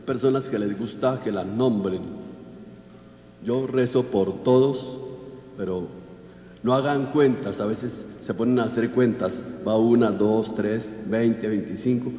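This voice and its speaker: Low-voiced Colombian priest in an echoey church. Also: male voice, mellow, a little hiss or hum. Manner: measured, list-like recitation of names. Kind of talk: reading out Mass intentions from a list. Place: large church, at the altar. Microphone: altar microphone. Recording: echoey church with long reverb.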